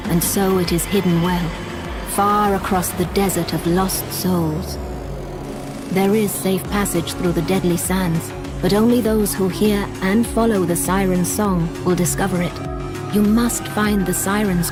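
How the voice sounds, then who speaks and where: An actress playing a regal, calm goddess in a video game, speaking in a solemn, formal, instructive way. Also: female voice, lowish voice, unhurried, lightly acted. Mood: solemn, guiding. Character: regal, calm goddess woman guiding a warrior. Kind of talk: dramatic video game voice acting. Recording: music behind voice.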